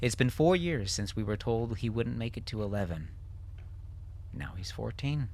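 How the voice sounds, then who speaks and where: A man's voice: medium pitch, animated, normal speed, gravelly, darkish tone, pitched above the performer's own voice. A man voicing a defensive middle-aged doctor, husband and father, with a firm, weary, self-justifying manner in an audiobook.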